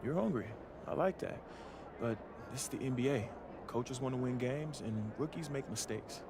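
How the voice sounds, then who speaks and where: Male, acting: video game basketball coach, firm, frank and encouraging, mid-pitched, with clear street and traffic noise behind. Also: animated, normal speed, close to an everyday voice. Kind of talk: naturalistic video game cutscene dialogue.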